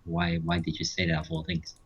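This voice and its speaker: A man telling a casual anecdote in a halting, repetitive, rambling way. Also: low voice, monotone, a bit bright, slightly rough, lo-fi sound. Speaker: guest storyteller. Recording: noisy home mic, clicking and typing. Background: clicking, keyboard typing, background noise.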